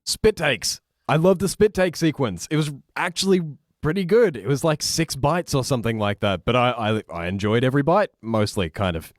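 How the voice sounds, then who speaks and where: A man's voice: mid-range voice, expressive, normal speed, no echo, studio quality. A man as a podcast guest, chatting casually in short, tongue-in-cheek remarks. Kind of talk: casual review chat.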